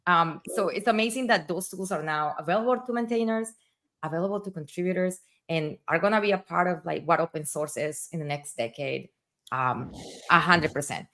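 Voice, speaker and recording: A woman's voice: fairly low pitch, a little fast, fairly bright tone. A woman hosting a livestream, a native Spanish speaker with a Spanish accent, talking in an enthusiastic, chatty way full of fillers. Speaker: livestream host. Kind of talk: casual livestream conversation.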